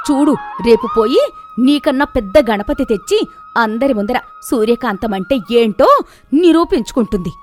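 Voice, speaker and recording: A woman playing a combative village in-law in a comic cartoon, scolding and taunting in Telangana Telugu. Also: high-pitched. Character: bickering village mother-in-law and daughter-in-law, brash and combative. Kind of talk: animated comic story dialogue. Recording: music behind the voice.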